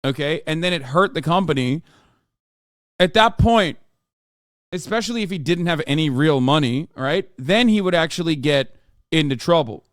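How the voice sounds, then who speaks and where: A man talks loosely and bluntly over a game stream, swearing and circling back on his points. His voice sits a little high, and the desk mic sounds dry and close, with no room around it. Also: expressive, normal speed, no room sound. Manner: blunt, profane, rambling. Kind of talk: casual commentary while gaming.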